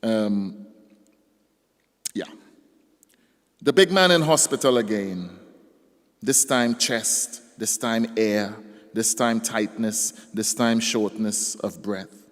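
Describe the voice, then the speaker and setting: A man reading his poems aloud at a live event, measured and deliberate, lifting his voice for the verse. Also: on the low side, fairly bright tone. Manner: slow, deliberate recitation that rises in force on the poems. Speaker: poet. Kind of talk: live poetry reading with casual stage banter. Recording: long reverb of a live hall.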